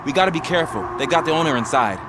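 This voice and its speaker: A man voicing an earnest, eager police officer in a video game, urgent and admiring, with loud action noise behind him. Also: on the high side, lively intonation, racing, lightly acted.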